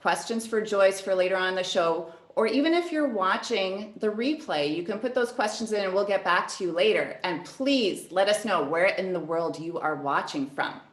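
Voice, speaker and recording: A woman's voice: mid-range voice, animated, normal speed, hardly any pauses, roomy sound. A woman hosting a casual video-call interview, asking friendly, encouraging questions. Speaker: host, pianist.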